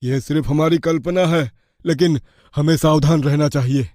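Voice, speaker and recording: Higher-voiced man, tense and urgent. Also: fairly even intonation, normal speed, a little mellow, dry recording, clean studio sound. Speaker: story character voice.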